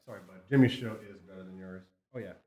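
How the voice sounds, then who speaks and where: A man's voice: low voice, fast talker, mellow. A man bantering in a hammy, teasing way as co-host of a live comedy variety show. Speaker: podcast co-host. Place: live stage at a comic shop. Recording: echoey live venue with music and crowd.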